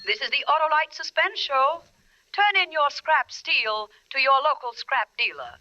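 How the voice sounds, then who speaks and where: Bright high-pitched radio ad woman. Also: sing-song, normal speed, no room sound. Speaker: sponsor message announcer. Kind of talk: 1940s radio advertising. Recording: dull 1940s radio broadcast.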